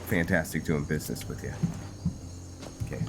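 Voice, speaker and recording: A man giving casual game commentary, musing and halting as he thinks aloud to himself. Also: very deep voice, very noisy. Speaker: gameplay host narrating a roleplay playthrough.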